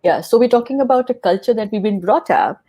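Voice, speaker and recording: A woman hosting an interview show, opening with a scripted introduction and then asking her guest measured, earnest questions. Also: a little high, expressive, dark tone. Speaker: podcast host.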